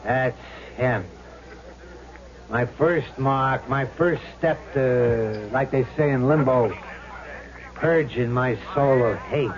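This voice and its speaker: Man playing a slow-talking, cocky gangster ghost. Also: medium pitch, close to an everyday voice. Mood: gloating, vengeful. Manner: cocky, slangy tough-guy talk. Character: vengeful wisecracking male ghost of a smooth swindler. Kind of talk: vintage radio drama acting. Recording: muffled old radio recording, crowd murmur behind.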